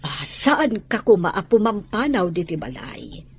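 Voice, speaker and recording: A woman's voice: medium pitch, sing-song, measured pace, background noise. A woman playing a kindly, weary lady of the house in an Ilocano audio drama. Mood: soothed, affectionate.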